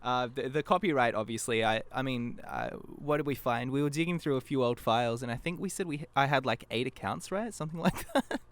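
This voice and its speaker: A man's voice: mid-range voice, fairly quick, hardly any pauses, a bit bright. A man speaking as a guest in a casual interview, rambling in a self-deprecating way and breaking off mid-thought. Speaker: interview guest, fan abridged-series creator. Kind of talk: casual podcast interview chat.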